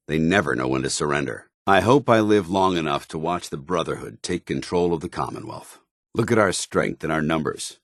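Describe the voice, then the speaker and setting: Stern soldier companion, video game, deep pitch, animated inflection, clipped military commands, loyal and zealous. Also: male voice, no echo, clean studio sound. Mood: zealous, resolute.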